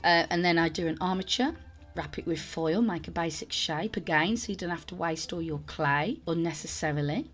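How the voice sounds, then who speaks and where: Woman, sore throat, voice cracking, lowish pitch, chatty tutorial voice-over. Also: normal speed, a bit bright. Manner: chatty, self-deprecating, rambling asides. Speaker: sculpting channel host.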